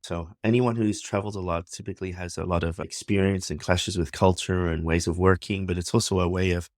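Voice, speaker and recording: A man's voice: deep voice, steady tone, fairly quick, dry recording, studio quality. A man hosting a podcast, reading a polished intro and then interviewing his guest with enthusiasm. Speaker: podcast host.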